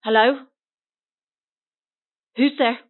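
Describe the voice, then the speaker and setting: An actress playing a frightened, paranoid woman recounting a horror in halting speech over a phone in an audio drama. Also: on the high side, fairly even intonation. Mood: paranoid, on edge. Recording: thin, phone-like band-limited sound.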